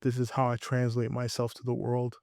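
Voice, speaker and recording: A young man from Puerto Rico talks earnestly and reflectively as a guest, often restarting his sentences. His voice is smooth and resonant but stays level in pitch. The recording is dry and close. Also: completely flat delivery, fairly quick, a little mellow, dry recording. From Puerto Rico, raised in Connecticut. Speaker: guest filmmaker. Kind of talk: casual interview storytelling.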